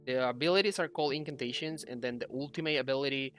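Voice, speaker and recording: A man's voice: medium pitch, fast, a bit bright. A young man giving a casual, hesitant game guide as a video host, explaining things and correcting himself as he goes. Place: indoor room at a computer. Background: music.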